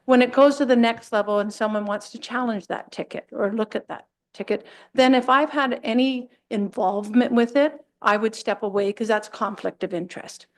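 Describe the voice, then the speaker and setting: A woman's voice: fairly high pitch, moderate pace, hardly any pauses. A woman who manages municipal enforcement, explaining her role plainly at a council meeting.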